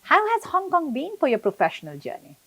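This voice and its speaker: A woman's voice: sits high, huge pitch swings, a little fast, fairly bright tone, no room sound, poor audio. A woman hosting an interview, asking curious questions and encouraging her guest with short replies. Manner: curious, encouraging back-channels. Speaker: interview host.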